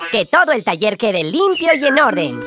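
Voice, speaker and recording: High, animated cartoon gecko. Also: female voice, moderate pace, over music. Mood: cheerful, reassuring. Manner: exclamatory, explaining things to young viewers. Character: cheerful, helpful young gecko mechanic, excitable and reassuring. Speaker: cartoon lead and narrator. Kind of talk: animated children's show dialogue dubbed in Spanish.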